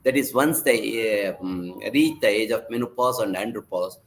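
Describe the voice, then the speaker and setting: A man giving an online medical lecture, hoarse from laryngitis, halting with many fillers and restarts. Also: lowish voice. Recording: faint background noise.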